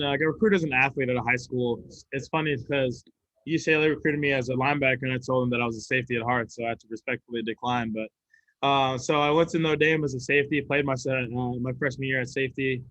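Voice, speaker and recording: A man's voice: mid-range voice, fairly even intonation, quick pace, fairly bright tone, highs cut off. A young man from Sweden, a college football player, answering press questions in an easygoing way with frequent laughs and fillers. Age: young adult.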